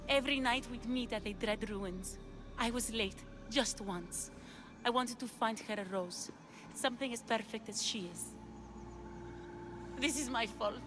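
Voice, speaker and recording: A woman's voice: fairly high pitch, unhurried, close to an everyday voice. An actress playing a lovesick, desperate woman of ancient Greece in a video game, with an earnest, pleading delivery. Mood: anguished. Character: lovesick, desperate ancient Greek woman seeking her lover. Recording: music behind the dialogue.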